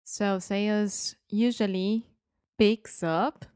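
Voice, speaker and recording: A woman's voice: medium pitch, fairly even intonation, slow pace, a little mellow, clear, resonant tone, no echo, clean studio sound. A woman co-hosting a podcast, playful and curious, asking questions as she learns. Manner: playful, laughs often, asks questions. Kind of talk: casual chat.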